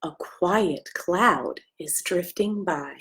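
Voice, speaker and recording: An American woman, a pastor, reading a children's story aloud with gentle, simple asides and questions for young listeners. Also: on the low side, slow pace. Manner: gentle, simple questions addressed to small children, often hushed.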